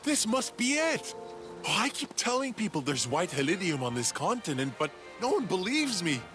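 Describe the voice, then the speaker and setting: A man's voice: high voice, very animated, normal speed. A man voicing an eager, cocky young alien inventor who explains things condescendingly in a video game. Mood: thrilled. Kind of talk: video game cutscene dialogue. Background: background music.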